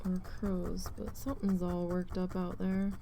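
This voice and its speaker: A woman losing her voice, chatting casually and slowly with self-deprecating asides while she does a craft project at home. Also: on the low side, flat delivery, vocal fry, mellow, slight background noise. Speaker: needle felting crafter.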